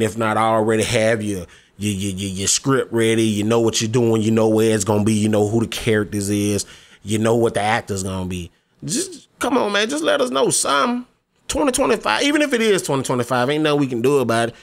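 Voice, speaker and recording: A man's voice: on the low side, animated, brisk, gravelly. Laid-back young man in his twenties. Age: 20s. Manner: laid-back, slangy, eager; pleading, repeats himself. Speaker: gaming YouTuber. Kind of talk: casual discussion vlog.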